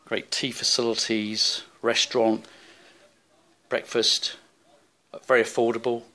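A man's voice: mid-range voice, little pitch movement, measured pace, bright, forceful tone. A man narrating a handheld walking tour of a seaside hotel, rambling with many fillers and restarts. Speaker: travel vlogger. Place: hotel rooms and seaside street. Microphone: handheld camera.

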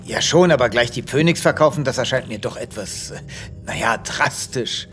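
A man's voice: medium pitch, moderate pace, a bit bright, soft background music, lightly acted. A man playing a vain, self-pitying space veterinarian in a comic audio drama, melodramatic and whiny. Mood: tempted, hesitant. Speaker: lead actor of an audio drama series.

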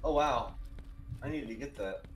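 A man's voice: medium pitch, normal speed, echoey room. A man in his thirties streaming a game from home on a headset, making dry, wry remarks as he plays. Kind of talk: casual gaming chat.

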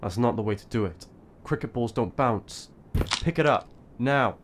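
An actor playing a bossy, responsible teenage eldest brother in an audio drama, stern and scolding. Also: male voice, medium pitch, expressive, moderate pace, a little hiss or hum, lightly acted.

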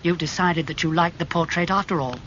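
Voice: mid-range voice, brisk, a bit bright, highs cut off, a little hiss or hum, natural voice. A reader voicing a devoted male painter who speaks earnestly and with pained feeling to his friends.

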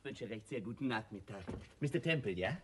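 An actor playing an eager, deferential manservant with quaint, over-polite broken phrasing in a radio play. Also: male voice, lowish voice, animated, a little fast, natural voice. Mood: eager politeness. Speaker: actor in a detective radio drama.

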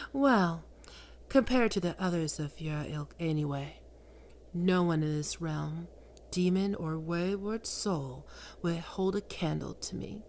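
Woman playing a sultry domineering demon queen, slow whispers, low gravelly voice, faint ambience behind. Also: darkish tone, slight background noise. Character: seductive, imperious female demon queen of lust. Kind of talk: ASMR roleplay audio drama.

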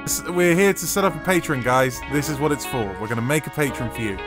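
A man, a podcast writer and producer, giving an informal promotional pitch in a playful, irreverent manner. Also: expressive, fast talker, music in the background.

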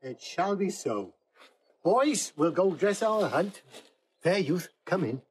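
A man's voice: sits high, very animated, takes it slowly, slight background noise, exaggerated voice. An actor playing a hospitable, fatherly older huntsman, hearty and courteous, in Shakespearean style. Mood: welcoming. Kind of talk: theatrical Shakespearean acting.

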